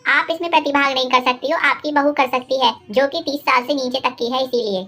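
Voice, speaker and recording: A woman acts out a young neighbourhood woman in a cartoon moral story. She is polite and chatty, with a distinctly high voice. Music plays behind her as she explains things. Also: fairly even intonation, fairly quick, sharp tone, exaggerated voice.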